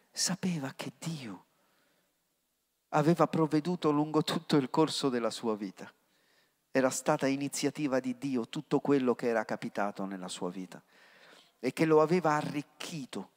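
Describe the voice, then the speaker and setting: A middle-aged man, preaching a sermon into a handheld mic, swinging from loud outbursts to hushed words. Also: medium pitch. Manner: impassioned preaching with sudden rises and hushed drops. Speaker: pastor. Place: church hall. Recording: long church reverb.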